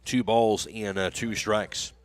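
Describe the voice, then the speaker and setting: A man's voice: lowish voice, fairly even intonation, slow pace, no echo. An American man doing live radio play-by-play of a baseball game as the announcer. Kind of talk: live sports commentary.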